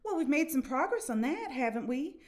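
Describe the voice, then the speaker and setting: A defensive everyday woman played by a woman in an audio play, with a highish voice that swings up and down. She talks in a folksy, conversational way as she argues. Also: big pitch swings, a little fast, a little mellow. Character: defensive everyday woman arguing her point.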